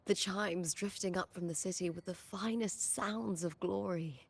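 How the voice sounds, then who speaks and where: A woman's voice: on the low side, measured pace, creaky, slightly rough, exaggerated voice. A woman playing a confident, composed female warrior who guides others in a video game. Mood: reassuring. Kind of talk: scripted video game dialogue.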